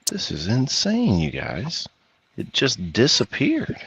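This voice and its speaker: A man's voice: mid-range voice, wildly swooping pitch, slow pace, vocal fry, a bit bright, a little hiss or hum. A man chatting on a stream as co-host, dropping playful quips. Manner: playful quips, reacts to games. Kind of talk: casual livestream chat.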